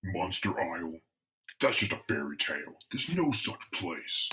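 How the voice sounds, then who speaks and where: A man voicing a gruff, skeptical tough-guy adventurer, blunt and gravelly. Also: low-pitched, big pitch swings, measured pace, pushed and bright, recorded from a distance, exaggerated voice. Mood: dismissive. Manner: blunt, dismissive.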